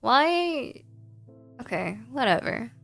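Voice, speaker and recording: A woman's voice: on the high side, big pitch swings, takes it slowly, creaky, fairly bright tone, faint music behind, pitched above the performer's own voice, heavily acted. A woman voicing a boastful, defensive young male vampire from a horror game, with sarcastic delivery. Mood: grudging, sarcastic. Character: cocky, prickly young male vampire, boastful tough guy.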